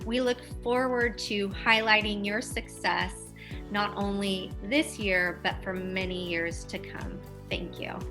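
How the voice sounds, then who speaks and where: A woman's voice: mid-range voice, fairly even intonation, slow, music in the background. A woman hosting a podcast interview, upbeat and reading prepared introductions and questions. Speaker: podcast host.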